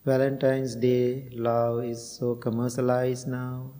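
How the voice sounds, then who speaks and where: Middle-aged male Buddhist monk from Sri Lanka, unhurried, gentle, pausing often, even-toned, long reverb. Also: mid-range voice. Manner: slow, gentle, with frequent pauses and fillers. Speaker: Buddhist monk and meditation teacher. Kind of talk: guided meditation and dharma talk.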